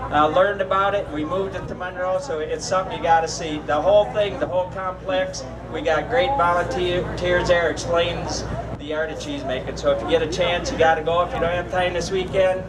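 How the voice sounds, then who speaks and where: A man with a somewhat high voice announces to a crowd outdoors, rambling and stopping often to fill gaps. He is picked up from a distance, with loud crowd chatter all around. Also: steady tone, moderate pace. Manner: rambling, full of filler words. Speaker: event announcer. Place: outdoors at a public event. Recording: distant voice over crowd noise.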